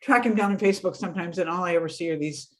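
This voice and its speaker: A woman's voice: lowish voice, racing. A woman vocalist with a light voice, chatting enthusiastically as a guest over a video call. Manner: animated, enthusiastic, laughs often. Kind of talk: casual interview chat.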